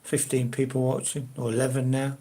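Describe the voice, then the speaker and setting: A man's voice: mid-range voice, monotone, a little fast, dark tone. An older man from South London with a Cockney-ish accent, chatting casually and hesitantly with viewers as the host of his own live stream. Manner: rambling, hesitant, many fillers and restarts.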